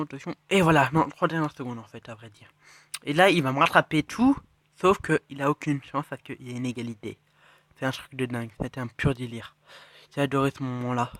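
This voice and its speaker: A man with a cold giving rambling, excitable commentary on his mobile game matches and chest openings. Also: medium pitch, no echo. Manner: rambling, excitable, self-correcting, drops to mutters. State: has a cold. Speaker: mobile game channel host. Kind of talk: casual gameplay commentary.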